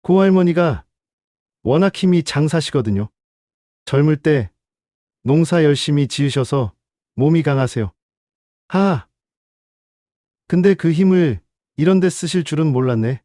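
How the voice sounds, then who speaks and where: A man's voice: fairly high pitch, animated, unhurried, pauses often, dark tone, no room sound, studio quality. A man playing a frank, impatient uncle in an audio drama. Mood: earnest.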